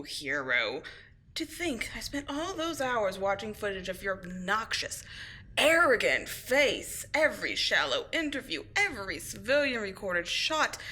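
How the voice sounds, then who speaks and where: A woman playing a grandiose, scornful supervillain in an audio roleplay, gloating and taunting with drawn-out emphasis. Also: on the high side, sharp tone, full character voice. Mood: scornful, bitter. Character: theatrical female supervillain, gloating, scheming and scornful. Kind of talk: scripted audio roleplay acting.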